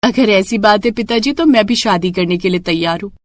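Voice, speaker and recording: A woman plays a devoted young village daughter in a moral cartoon tale. Her voice is mid-pitched, earnest and respectful, with a self-reliant streak. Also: a little fast, natural voice. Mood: determined. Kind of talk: Hindi moral cartoon story acting.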